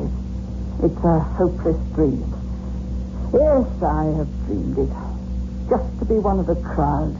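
A woman's voice: deep voice, lo-fi sound. Hardened female agent, guarded, stern clipped delivery, old radio drama, music behind. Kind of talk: 1960s radio suspense acting.

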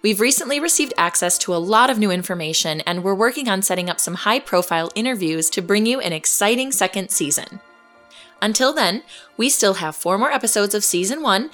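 Actress doing a woman podcast host, earnest n measured scripted update, medium pitch, faint music under it. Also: fairly bright tone, natural voice. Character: composed, defiant woman journalist hosting a superhero investigation podcast.

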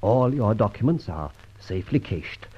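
Smooth-talking gentleman crook, very raspy voice, swoops around a lot, muffled old radio play. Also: lowish voice, big pitch swings, moderate pace. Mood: breezy, commanding. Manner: breezy, witty, upper-class turns of phrase. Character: suave gentleman adventurer thief, cool, jaunty and commanding. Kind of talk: old radio drama acting.

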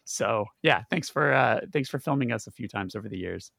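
A man's voice: mid-range voice, animated, fairly quick, gravelly, a bit bright, no echo, clean studio sound. A man hosting a casual podcast, talking loosely with lots of fillers and restarts. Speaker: podcast host.

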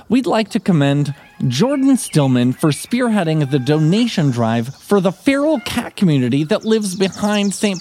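A man's voice: on the high side, darkish tone. A man announcer reading scripted thank-you messages to supporters of an audio drama. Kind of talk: scripted sponsor acknowledgements.

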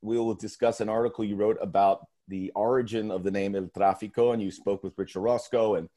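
A man's voice: fairly low pitch, steady tone, brisk, warm tone. Earnest American man hosting a podcast. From United States. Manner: earnest, emphatic, often restarts phrases. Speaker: podcast host. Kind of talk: casual podcast talk.

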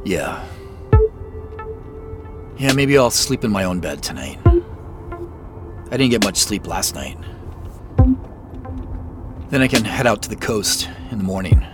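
An edgy, suspicious male investigator in a naturalistic audio drama. His delivery is dry and guarded, yet the pitch moves around a lot. Music plays clearly underneath. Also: huge pitch swings, slow pace, pauses often, full character voice. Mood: tired, subdued. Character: suspicious, on-edge man investigating a mystery.

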